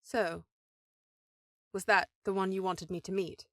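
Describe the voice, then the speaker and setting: A powerful elven sorceress acted by a woman in a fantasy audio drama, speaking as a kind, encouraging sister. Her delivery is earnest and affectionate, at an average pitch for a woman, recorded dry and close. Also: little pitch movement, unhurried, no room sound, natural voice. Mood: fond, teasing.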